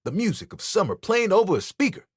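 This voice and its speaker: A man playing an easygoing, proud and sentimental living towel in a video game, with a husky, expressive and earnest delivery. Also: sits high, fast, no room sound, clean studio sound, exaggerated voice. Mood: dreamy. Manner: laid-back, expressive, earnest, playfully boastful. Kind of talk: video game character dialogue.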